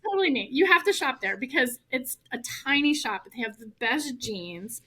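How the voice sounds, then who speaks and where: A middle-aged woman, a law professor guesting on a podcast, telling animated stories and laughing often. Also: sits high. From United States. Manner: animated storyteller, laughs often, fast and chatty. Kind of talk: casual interview chat.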